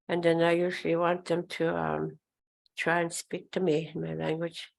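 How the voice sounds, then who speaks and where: Older woman elder, Oji-Cree first language; gravelly, slow, flat delivery on a handheld mic. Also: lowish voice. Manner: slow, hesitant, many pauses and throat clearing. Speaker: elder speaker. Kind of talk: informal talk at a gathering. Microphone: handheld microphone.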